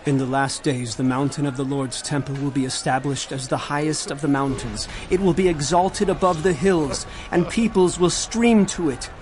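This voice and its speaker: A man's voice: fairly high pitch, moderate pace, few pauses, close to an everyday voice. An actor playing a stern prophet in a dramatized Bible reading, solemn and declamatory as he denounces corrupt rulers. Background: music.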